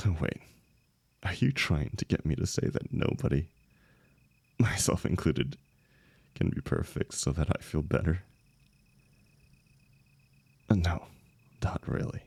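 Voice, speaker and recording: A man acting a lovelorn young heartbreaker in an intimate, emotional audio roleplay, speaking haltingly and rawly to a longtime friend. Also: low-pitched, animated, gravelly, darkish tone, slightly rough, dry recording, heavily acted. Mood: tender, vulnerable. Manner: halting, stammering, swearing, emotionally raw.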